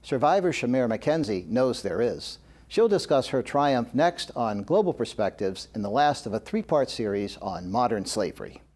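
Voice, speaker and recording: A man hosting an interview program, reading a measured scripted introduction and then asking questions. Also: mid-range voice, big pitch swings, darkish tone. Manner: measured, brief reactions. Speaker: host.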